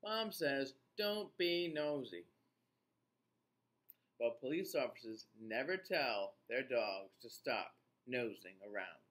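Very slow, animated man reading to children. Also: medium pitch. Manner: animated, deliberate, expressive teacher-like delivery with playful asides. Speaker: children's read-aloud host. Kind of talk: reading a children's picture book aloud to young children. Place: small indoor room.

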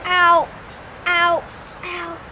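A woman's voice: very high voice, completely flat delivery, extremely slow, noisy background, exaggerated voice. A woman voicing a cheerful young man doll who rides a motorcycle, upbeat and whiny when hurt, in a toy play video.

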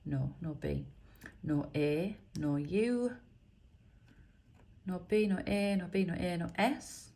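Croaky woman scratching cards. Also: lowish voice, slow, dark tone. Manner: chatty, reads letters out one by one. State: croaky from a chest infection. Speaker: scratch card vlogger. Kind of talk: casual commentary. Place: indoors at a table.

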